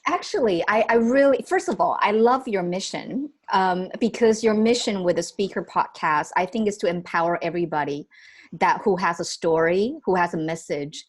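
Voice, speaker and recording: A woman's voice: lowish voice, normal speed. A young woman from Taiwan whose first language is Chinese, chatting as a podcast guest with frequent laughs and restarts. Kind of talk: casual interview chat.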